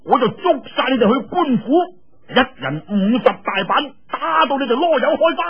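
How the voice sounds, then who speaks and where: A man putting on a high, blustering mock general. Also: sing-song, slightly rough, exaggerated voice. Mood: threatening swagger. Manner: pompous, drawn-out blustering. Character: swaggering mock army general, loud, cheeky, blustering crank. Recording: dull, lo-fi radio-like audio.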